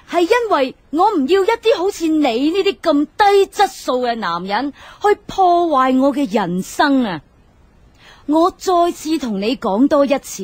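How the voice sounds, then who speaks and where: Actress as condescending, scornful Hong Kong office woman for radio drama: high voice, measured scolding, very dry close sound. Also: no room sound. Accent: Hong Kong Cantonese.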